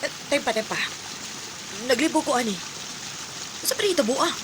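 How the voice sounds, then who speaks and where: A woman's voice: high voice, animated, moderate pace, noisy background. A woman acting an anguished, lovesick young woman telling her story in a sentimental radio drama. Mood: distressed, confused. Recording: music bed under voice. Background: music.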